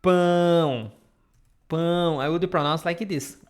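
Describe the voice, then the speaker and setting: Brazilian woman, Portuguese teacher on a live stream, slow and patient, drills sounds over and over, camera mic. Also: lowish voice. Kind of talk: live online language lesson.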